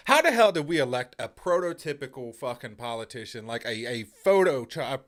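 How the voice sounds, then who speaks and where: Profane, animated male co-host. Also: on the low side. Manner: profane, joking, heated rants. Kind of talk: casual live-stream chat.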